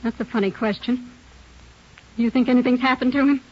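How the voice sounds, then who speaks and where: A woman's voice: fairly high pitch, fairly even intonation, brisk, lightly acted. A woman playing an anxious, suspicious mother of a young boy in a 1940s radio drama, earnest and pressing. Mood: anxious, insistent. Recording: muffled, hissy old 1940s radio recording.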